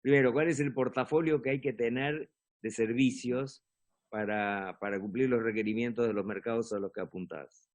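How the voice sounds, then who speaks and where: A man's voice: medium pitch, fairly even intonation, normal speed. Direct Argentine male interviewer. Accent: Argentine Spanish. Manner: direct, prompting. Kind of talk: interview questions.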